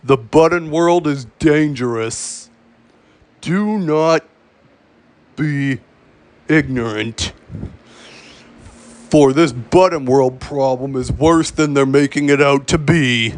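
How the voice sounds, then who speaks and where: Slow-paced man reading mock emergency alerts. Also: mid-range voice. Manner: halting delivery, repeating phrases. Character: grave, official government emergency broadcast announcer. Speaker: announcer.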